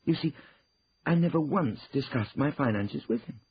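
A man's voice: medium pitch, expressive, normal speed, husky, dry recording, muffled recording, voice pushed up. A man voicing a firm, indignant woman defending herself in an audiobook, insistent and emphatic. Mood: indignant protest. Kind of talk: acted audiobook dialogue.

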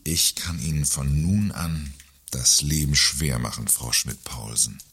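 Very deep, sardonic boss played by a man. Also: a little slow, a bit bright, husky, full character voice. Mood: cold, threatening. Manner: sardonic, condescending. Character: sarcastic, menacing male company director. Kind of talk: satirical radio play acting.

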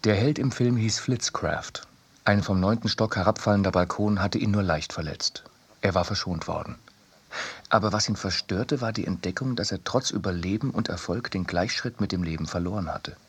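A man's voice: deep voice, normal speed, exaggerated voice. A man reading as a sober, laconic chronicler in literary radio narration. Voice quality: husky.

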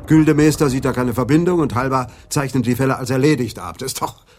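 An actor playing a blunt, grumpy police adjutant in a radio play, curt and disgruntled. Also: male voice, medium pitch, fast, darkish tone, a little hiss or hum, natural voice.

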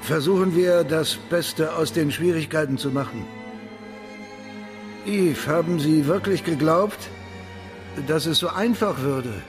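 An actor playing a confident, encouraging leader of a space project in a radio drama, reassuring and deliberate. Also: male voice, fairly high pitch, natural voice.

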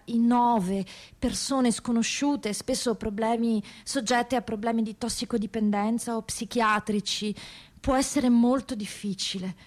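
A woman performing a dramatic radio theatre monologue as a testimony, with measured, grave and deliberate phrasing. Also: on the high side, a little mellow. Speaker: actress reading prisoners' testimonies.

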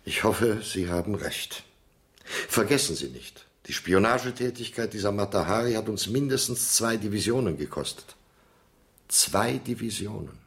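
An actor in a German radio play, playing a demanding, displeased army colonel with a commanding, sardonic manner. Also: male voice, lowish voice, expressive, moderate pace, vocal fry, a bit bright. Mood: pointed warning.

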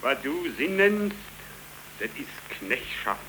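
A man's voice: fairly high pitch, very animated, slow, pushed and bright, slight background noise, full character voice, rough recording. An actor playing a cynical, hungry, scornful young man out of work, with mocking, declamatory delivery on an old 1930s German radio play recording.

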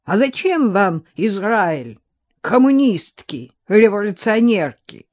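A woman's voice: fairly low pitch, very animated, a little slow, darkish tone. A woman voicing a bitter older man who talks harshly, sarcastically and in angry bursts.

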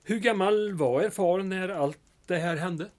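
A man acts a small-town mayor in a comic radio play. He is polite and official but slightly flustered, and his voice is pitched high for a man. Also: lightly acted. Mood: curious. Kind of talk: comedic audio drama dialogue.

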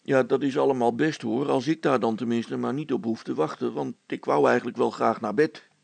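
Man acting a brusque, gravelly, impatient handyman. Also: mid-range voice, steady tone, fairly quick, dry recording. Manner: blunt, exasperated, emphatic. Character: brusque, practical, impatient working man and father. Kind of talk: acted children's story.

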